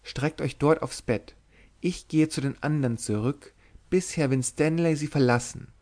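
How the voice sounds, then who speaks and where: A man's voice: a little high, a little slow, a little mellow, voice pushed up, natural voice. A bold young witch-maiden from a gothic storybook, as a male narrator plays her. She is sly and secretive, speaking in formal literary phrases with a confiding, commanding manner. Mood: brisk, conspiratorial. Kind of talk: dramatic literary audiobook reading.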